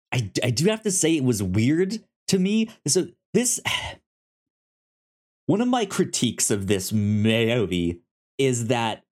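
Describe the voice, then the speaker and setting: A man co-hosting a casual film discussion, speaking haltingly and often stammering and repeating words. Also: mid-range voice. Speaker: podcast co-host reviewing films.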